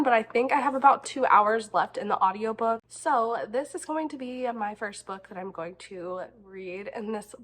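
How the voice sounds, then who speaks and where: A woman's voice: fairly high pitch, a bit bright. A young woman with a slightly stuffy voice from a cold, chatting in an upbeat, casual way as a book vlogger. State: stuffy, a little sick. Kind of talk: casual vlog chat.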